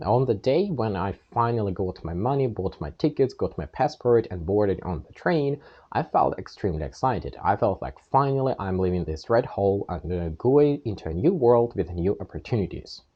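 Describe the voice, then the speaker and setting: A man's voice: fairly low pitch, sing-song, moderate pace, slightly rough, muffled recording. A young man from Ukraine with a Ukrainian accent, earnestly recounting his own past in a plain-spoken monologue. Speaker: channel host. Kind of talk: personal storytelling monologue.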